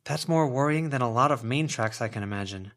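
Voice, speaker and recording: A man's voice: mid-range voice, brisk, fairly bright tone, natural voice. A man voicing an anxious, apologetic young woman with a hesitant, placating manner in an audiobook. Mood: wary. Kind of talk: audiobook character voice.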